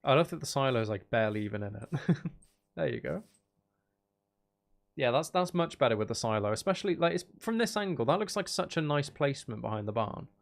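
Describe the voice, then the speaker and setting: A man's voice: mid-range voice, lively intonation, brisk, gravelly. A man giving casual, unscripted gameplay commentary as a video host, thinking aloud and trailing off mid-sentence.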